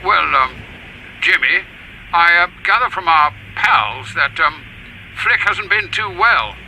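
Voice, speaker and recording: A man's voice: a little high, animated, takes it slowly, bright, forceful tone, a little hiss or hum, exaggerated voice, low-quality recording. A man playing a hesitant, discreet British spy chief posing as an uncle in a radio drama. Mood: guarded.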